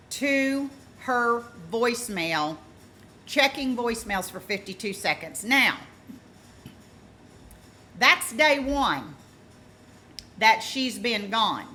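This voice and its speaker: A woman giving informal true-crime commentary as a vlogger, emphatic and deliberate, repeating points for stress. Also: on the high side, sharp tone, a little hiss or hum.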